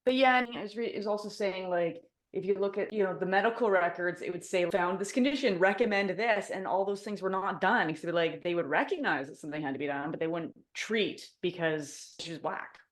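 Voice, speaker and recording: A woman's voice: lowish voice, fairly quick, hardly any pauses. A woman co-hosting a casual book club podcast, thinking aloud in a chatty way. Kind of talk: casual chat.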